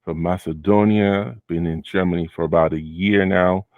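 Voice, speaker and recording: A man hosting a casual interview with one of his students, relaxed and full of fillers, often repeating the guest's answers back. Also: low-pitched, moderate pace, no echo, phone-quality sound. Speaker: host and Amazon seller mentor.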